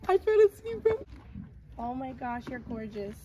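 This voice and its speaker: A young woman vlogging to camera at an outdoor petting farm, gushing excitedly and chatting to the animals, filmed on a phone held at arm's length. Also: sits high, unhurried. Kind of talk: casual vlog chat to camera. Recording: noisy outdoor phone recording.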